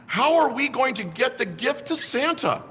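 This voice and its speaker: Storybook girl helper, worried and skeptical, read by a man, high and lively. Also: moderate pace, in a large hall, slight background noise, heavily acted. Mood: doubtful. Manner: protesting, doubtful.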